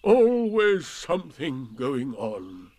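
A man voicing a gloomy, pompous old donkey in a storybook, declaiming sternly in a ponderous, drawn-out way. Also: medium pitch, huge pitch swings, darkish tone, full character voice. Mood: self-pitying. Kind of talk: storybook character voice.

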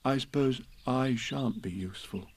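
A man voicing a humble, simple-minded male bear who speaks quietly and slowly. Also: mid-range voice, slight background noise, close to an everyday voice. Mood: wistful. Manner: soft, slow, humble.

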